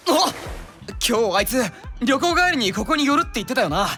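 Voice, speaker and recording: An actor playing a caring, rough-spoken young male investigator's partner in an audio drama, casual, blunt and expressive. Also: very high-pitched, brisk, heavily acted. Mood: upbeat, teasing. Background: music.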